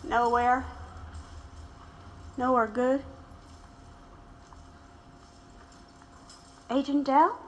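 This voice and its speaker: An actress playing a weary, regretful activist widow in an audio drama, reminiscing in a wry, reflective manner. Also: female voice, high voice, fairly even intonation, slow, a little hiss or hum. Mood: dazed.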